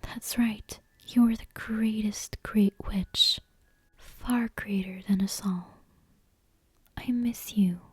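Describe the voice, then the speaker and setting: Female ASMR host. Whispered, very airy delivery, soft and dark, close-miked in a dry space. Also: medium pitch, fairly even intonation, takes it slowly, no echo. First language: non-native English speaker. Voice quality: breathy, unvoiced whisper. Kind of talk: whispered ASMR bedtime reading and casual chat. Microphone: close microphone.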